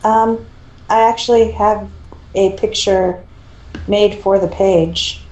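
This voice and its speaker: A woman's voice: medium pitch, fairly even intonation, slow pace, a little mellow. A woman talking in a casual, conversational way. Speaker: fan fiction reader. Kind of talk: casual chat. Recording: roomy echo.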